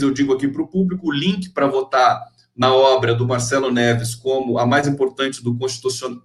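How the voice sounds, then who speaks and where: A man's voice: mid-range voice, fairly bright tone, reverberant. A man hosting a live-stream interview, effusive and full of fillers, reading out viewer comments. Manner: effusive, many fillers and restarts.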